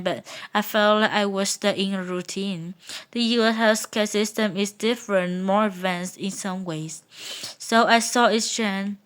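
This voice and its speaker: A woman from Japan, a guest giving measured, earnest scripted answers in an interview. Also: medium pitch, a bit bright, clear, resonant tone.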